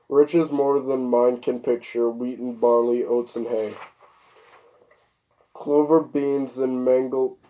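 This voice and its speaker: A man voicing an old male boar, a hoarse and solemn revolutionary elder declaiming to farm animals in a storybook reading. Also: medium pitch, dull audio, lightly acted. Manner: solemn, declaiming song verses.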